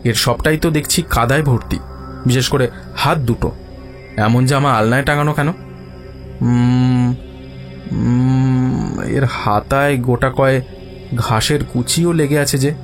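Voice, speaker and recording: An actor playing a self-assured, sceptical male detective in an audio drama, reasoning in a measured, dryly ironic way. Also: medium pitch. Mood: sceptical, probing. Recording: music behind the voice.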